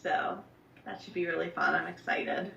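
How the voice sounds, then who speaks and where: A young woman from New Jersey chats casually as a musician guest, with plenty of fillers and an upbeat tone. Her voice sits low with a gravelly fry, and it comes through a roomy, distant, dull-sounding line. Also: steady tone, moderate pace, sharp tone, a little hiss or hum. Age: young adult. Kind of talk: remote interview. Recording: echoey distant lo-fi call audio.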